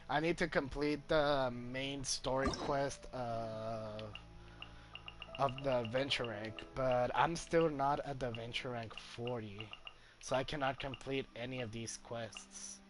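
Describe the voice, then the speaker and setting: A man doing casual commentary on a video game playthrough, halting and thinking aloud as he goes. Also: medium pitch, slight background noise. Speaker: gamer streaming playthrough. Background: game music.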